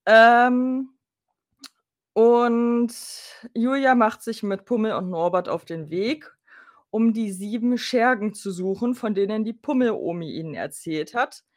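A woman's voice: a little high, measured pace, no echo, clean studio sound. A woman co-hosting a podcast, giving a casual recap and chatting informally. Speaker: podcast co-host.

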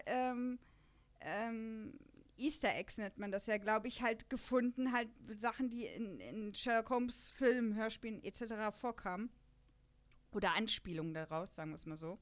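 Young woman, podcast host, lively and chatty, slightly high voice. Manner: lively and chatty, laughs often. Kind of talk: casual chat.

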